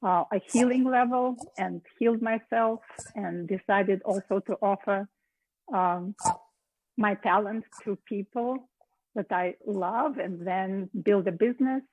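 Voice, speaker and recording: A middle-aged woman talks in a casual, conversational way, pausing and restarting now and then. The sound is dry and close, with no room echo. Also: medium pitch, a little mellow, lo-fi sound. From Latvia. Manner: conversational, with hesitations. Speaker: cooking show host. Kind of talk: casual personal account.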